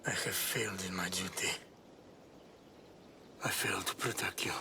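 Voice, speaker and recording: A man's voice: sits low, steady tone, normal speed, vocal fry, bright, forceful tone, slight background noise, heavily acted. A man playing a stern, proud middle-aged Spartan warrior, solemn and formal, with a Greek accent, in game drama. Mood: regretful. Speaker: video game voice actor. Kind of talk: dramatic game cutscene acting.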